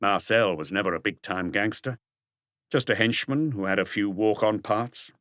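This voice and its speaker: Man playing a low-voiced, persistent police detective. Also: normal speed, a bit bright, dry recording, clean studio sound, lightly acted. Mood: firm, measured. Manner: pointed, pressing questioning. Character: probing, persistent male police detective, firm and unhurried.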